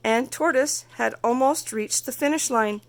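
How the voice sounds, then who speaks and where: A woman reading a children's story aloud as narrator, expressive and carefully phrased. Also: on the high side, a little slow. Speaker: storybook reader.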